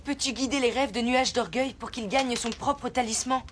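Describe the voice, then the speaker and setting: High-voiced earnest young boy acted by a woman. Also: steady tone, normal speed, background noise, close to an everyday voice. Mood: concerned, respectful. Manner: earnest, insistent. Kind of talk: animated children's series acting. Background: background music.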